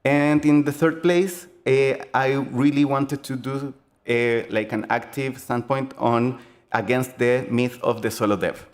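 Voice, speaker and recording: A man's voice: medium pitch, fairly even intonation. Earnest Chilean man giving a talk. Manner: halting, many fillers and restarts, earnest. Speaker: game designer giving a conference talk. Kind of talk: conference presentation.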